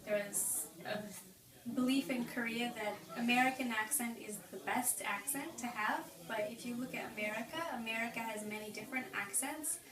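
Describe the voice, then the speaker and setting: A woman in her twenties from Michigan, a university teacher explaining her view in a measured way. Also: on the high side, reverberant, far from the mic, crowd noise. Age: 20s. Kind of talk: casual street interview answers.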